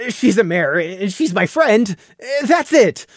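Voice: mid-range voice, very animated, slow, no room sound, studio quality, exaggerated voice. A storyteller voicing a shy young pony stallion who stammers and protests when teased. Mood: flustered.

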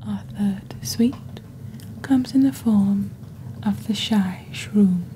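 A woman acts out a calm witch hosting a magical shopping channel in soft ASMR. Her voice is breathy and nearly whispered, very dark and mellow, with slow, deliberate phrasing. It is recorded close on the mic over faint background noise. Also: mid-range voice, natural voice. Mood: calm, mysterious. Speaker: host of an ASMR roleplay channel. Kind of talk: soft-spoken ASMR scripted product presentation. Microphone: close desk microphone.

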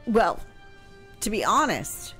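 A middle-aged woman with an American accent hosts a casual radio chat. Her pitch is average for a woman, and she speaks close to the mic. Faint music plays behind her. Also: big pitch swings, slow pace, pushed and bright. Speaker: radio show host answering history questions. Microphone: close microphone on boom arm, headphones.

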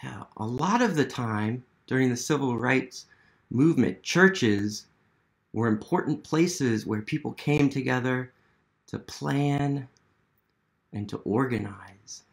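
Slow-paced American man reading to children. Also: mid-range voice, lively intonation, gravelly. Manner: encouraging, asks questions and pauses for young listeners. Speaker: museum literacy educator hosting a children's storytime. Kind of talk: interactive read-aloud for young children. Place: small living room at home, indoors.

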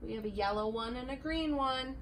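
A middle-aged woman teacher reading a picture book aloud in a classroom, slow and expressive, with the camera a few feet away. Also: high-pitched, slight background noise. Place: classroom, indoors.

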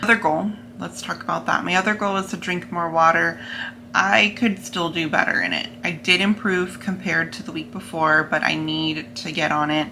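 Bright-voiced young American woman vlogger. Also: lowish voice, steady tone, normal speed, gravelly, slight background noise. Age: young adult. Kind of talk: casual vlog talk to camera.

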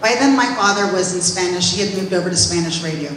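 A woman who owns restaurants tells her family story to an audience on stage, speaking loudly into a handheld microphone. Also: on the low side, fast, a little hiss or hum. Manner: loud, lively storyteller. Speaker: restaurant owner speaking at event. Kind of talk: personal story speech to audience. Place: large reverberant event hall on stage. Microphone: handheld microphone, far camera. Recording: echoey hall from far off.

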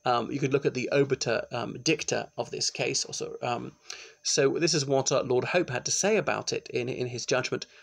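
A middle-aged man, giving an informal law lecture to his webcam in a small room and often pausing with ums. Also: mid-range voice, fairly even intonation, creaky. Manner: chatty, explanatory, with frequent ums and restarts. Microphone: laptop webcam, close framing.

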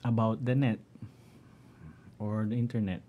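A middle-aged man with a Filipino accent, talking through his home network setup in a halting way, often clearing his throat. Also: lowish voice, level tone, phone-quality sound, slight background noise. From Philippines. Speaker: tech vlogger. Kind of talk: casual screen-share walkthrough.

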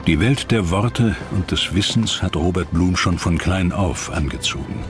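Deep-voiced male documentary narrator over loud music. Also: unhurried. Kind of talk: scripted historical documentary narration.

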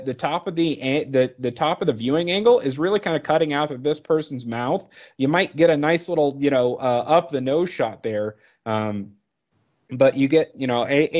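A man's voice: a little high, a little mellow, muffled recording. A man narrating an informal product training presentation in a conversational, explanatory way, thinking aloud as he goes.

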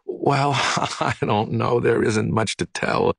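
A man's voice: mid-range voice, fairly even intonation, slow pace, vocal fry, a bit bright, no echo, exaggerated voice. A man reading aloud, voicing a shy young woman who murmurs hesitantly. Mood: flustered.

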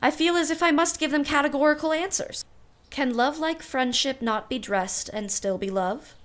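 A genteel aunt in a radio play, acted by a woman, with a fairly high voice. She questions herself in old-fashioned phrases, wry and easily flustered as she wavers over romance. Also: moderate pace, a bit bright. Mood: wavering, amused. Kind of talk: radio play acting, musing monologue.

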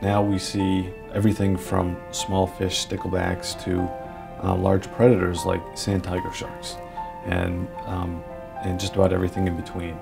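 A man's voice: low-pitched, completely flat delivery, normal speed, vocal fry. A man giving a documentary interview as a marine life expert. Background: music.

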